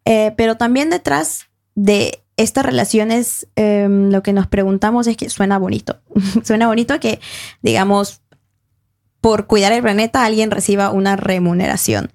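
Thoughtful young woman, gravelly voice. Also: medium pitch, fairly even intonation, normal speed, a little mellow. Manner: thoughtful, hesitant, many fillers and self-corrections. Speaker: panel guest. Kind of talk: academic discussion.

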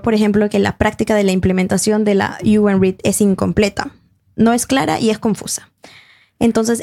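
A woman's voice: medium pitch, gravelly, darkish tone. A young woman on a discussion panel, speaking, thoughtful and hesitant, with many fillers and self-corrections. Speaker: panel guest. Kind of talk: academic discussion.

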